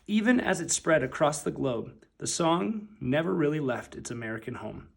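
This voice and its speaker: A man reading a children's picture book aloud as narrator, with deliberate, emphatic phrasing. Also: on the high side, animated, gravelly, slightly rough.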